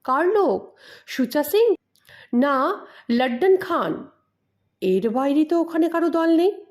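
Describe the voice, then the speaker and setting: Woman as male story hero, composed, polite but firm, raised pitch, deliberate slow pace. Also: lively intonation. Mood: probing, confident. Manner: firm, questioning.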